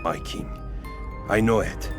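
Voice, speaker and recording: A man playing an obsequious, scheming old royal advisor in a sci-fi audio drama, deferential and halting. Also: natural voice. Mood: earnest, scheming. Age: older. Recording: music behind the voice.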